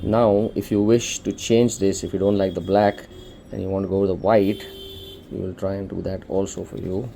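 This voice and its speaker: A man's voice: deep voice, little pitch movement, moderate pace, vocal fry, dark tone, a little hiss or hum. A man with an Indian accent casually presenting an unboxing for a shop, explaining things informally step by step. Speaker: shop presenter demonstrating a product.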